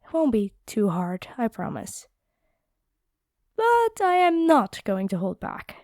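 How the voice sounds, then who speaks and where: A woman playing a kind, encouraging vampire fighter in a roleplay audio drama, speaking gently and earnestly. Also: a little high, huge pitch swings, slow, no room sound, clean studio sound, exaggerated voice. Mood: gentle, playful.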